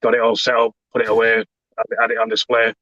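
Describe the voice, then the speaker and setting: A man's voice: medium pitch, monotone, pushed and bright, dry recording. Plainspoken man, lo-fi call. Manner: halting, plainspoken, many fillers. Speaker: professional wrestler guest. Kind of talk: casual interview chat. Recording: dull lo-fi call audio.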